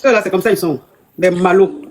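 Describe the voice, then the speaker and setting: A woman's voice: on the low side, brisk, darkish tone, slight background noise. A woman relationship coach, giving emphatic advice that repeats phrases and scolds her listeners. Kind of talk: advice call-in talk.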